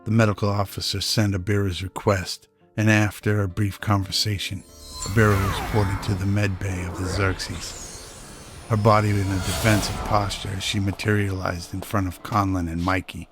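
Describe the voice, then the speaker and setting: A low-voiced amateur actor as a male narrator tells a science fiction audio drama in measured prose. His delivery stays level, with little rise or fall, over music. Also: flat delivery, close to an everyday voice. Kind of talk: scripted radio drama narration.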